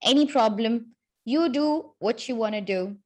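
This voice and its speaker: A woman hosts a casual interview in a slightly high voice. She is complimentary and reflective, building long sentences before she puts her questions to her guest. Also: measured pace. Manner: warm and complimentary, speaks in full reflective sentences. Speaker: podcast host, interviewer.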